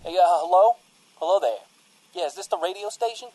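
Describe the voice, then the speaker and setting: Male preacher caller, acted by a man: folksy, earnest, rambling, friendly, mellow and slightly high-pitched, for audio comedy. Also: sing-song, brisk, gravelly, slight background noise, full character voice, rough recording. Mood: eager, friendly. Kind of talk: comedic audio drama phone call.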